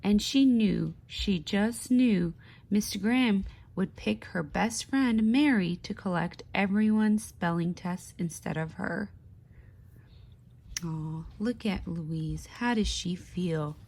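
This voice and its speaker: A woman reading a children's picture book aloud in an expressive, deliberate teaching way, pausing to put questions to young listeners. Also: mid-range voice, creaky. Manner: slow, deliberate, with pauses. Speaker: speech therapist.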